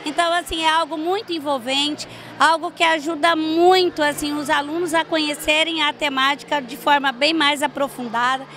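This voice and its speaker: A woman's voice: sits high, steady tone, moderate pace, fairly bright tone, a little hiss or hum. An older woman interviewed at a school gym on a handheld mic, explaining earnestly with many fillers. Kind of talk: on-camera interview. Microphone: handheld reporter mic.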